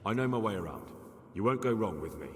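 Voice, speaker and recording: A man's voice: lowish voice, animated, fast, long reverb tail, noisy background, close to an everyday voice. A man playing a plucky young man in a video game, plain-spoken and persistent. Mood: guarded, confident. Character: plucky young man posing as a would-be gang recruit. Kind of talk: dramatic video game cutscene acting.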